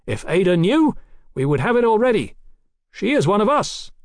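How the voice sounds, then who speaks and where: A man's voice: sits high, very animated, moderate pace, no room sound, clean studio sound, voice pushed up. A man voicing a taunting, fanatical revolutionary villain in an audiobook, theatrical and declamatory. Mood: scornful, fierce.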